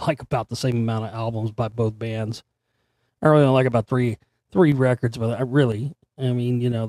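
A middle-aged man hosting his own show, his voice hoarse and still recovering, rambling casually with fillers and the odd curse word. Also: mid-range voice, dark tone, no room sound. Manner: rambling, conversational, frequent fillers and mild swearing. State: hoarse, voice recovering after straining it. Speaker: radio show host. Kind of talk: casual solo talk show monologue.

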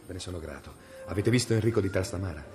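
An actor playing a stern medieval male inquisitor in a radio drama, measured and brooding as he reads from an old book. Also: sits low, a little mellow, soft background music, close to an everyday voice. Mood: probing.

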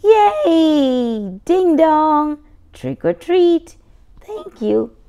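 Excitable little storybook baby, played by a woman, high, swooping, childlike. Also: very slow, full character voice. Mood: delighted. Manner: exclaiming, childlike simple phrases. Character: excitable, wide-eyed happy baby in a picture book.

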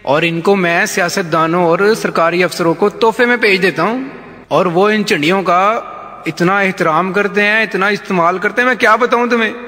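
An actor with a Punjabi accent playing a jovial, shameless, blind village politician in a satirical comedy sketch, folksy and teasing. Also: male voice, high voice, moderate pace, sharp tone. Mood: teasing, cynical. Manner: folksy, teasing, peppered with interjections. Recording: long hall reverb.

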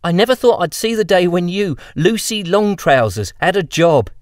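A man's voice: sits high, very animated, normal speed, dry recording, studio quality, voice pushed up. A man voicing a naive, curious poor boy. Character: poor, wide-eyed young boy.

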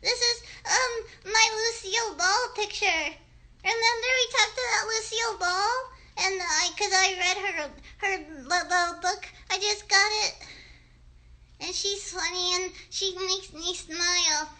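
A woman voicing an earnest, curious little girl puppet, speaking haltingly like a child in a children's livestream show. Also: very high-pitched, steady tone, pushed and bright, pitched above the performer's own voice, exaggerated voice. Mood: proud, cheerful. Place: bedroom at home. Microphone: phone a short distance away.

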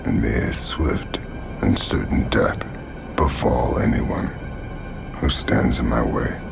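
An actor playing a menacing, calm convict antihero in a video game, terse and deadpan, with a gravelly voice. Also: male voice, takes it slowly, music in the background, full character voice. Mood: grim, solemn. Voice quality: very deep gravelly voice. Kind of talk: cinematic video game acting.

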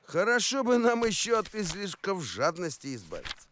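A man's voice: high-pitched, wildly swooping pitch, normal speed, a bit bright, slight background noise, full character voice. A man voicing a good-natured, sceptical talking dog in a cartoon, with a wry, grumbling manner. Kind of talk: animated cartoon voice acting. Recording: music behind the voice.

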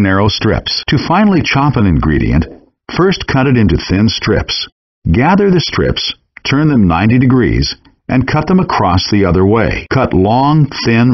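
A man narrates cooking instructions in a calm, measured, instructive manner, his fairly low voice moving with a lively lilt. There is a slight huskiness to his tone, and the game audio sounds dull and lo-fi. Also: slightly rough. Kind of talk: scripted instructional voice-over.

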